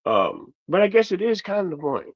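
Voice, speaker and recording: A man who is losing his voice hosts a loose commentary monologue, his gravelly, worn tone drifting from one half-finished thought to the next. The sound is dull and lo-fi, like a home setup. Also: high-pitched, sing-song, brisk. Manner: rambling, meandering, trails off mid-sentence. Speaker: commentary host.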